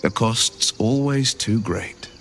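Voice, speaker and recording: A man playing a grave, principled companion in a fantasy video game, speaking in a measured, solemn storytelling way. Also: fairly low pitch, a bit bright, slight background noise. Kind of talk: video game character dialogue.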